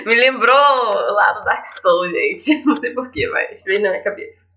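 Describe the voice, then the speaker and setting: A woman's voice: a little high, expressive, a little slow, pushed and bright, muffled recording. A young woman with a Brazilian accent giving casual let's play commentary as a gaming channel host. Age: young adult. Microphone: headset.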